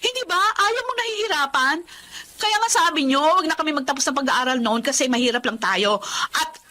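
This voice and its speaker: A woman's voice: high voice, animated, fairly quick, pushed and bright, slight background noise. A woman with a Filipino accent playing a resentful, career-minded eldest daughter in a radio-style drama. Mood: bitter, accusing. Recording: dramatic music behind the voice.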